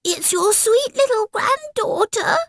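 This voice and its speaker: A woman voicing a cunning wolf who puts on a high, singsong, sweet little-girl voice. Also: lively intonation, slow, a bit bright, no room sound, studio quality, exaggerated voice. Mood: falsely sweet.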